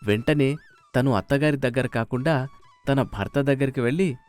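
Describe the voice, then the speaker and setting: A man's voice: mid-range voice, normal speed, a little mellow. A man narrating a scripted moral story as a storyteller, with music behind him. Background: faint music.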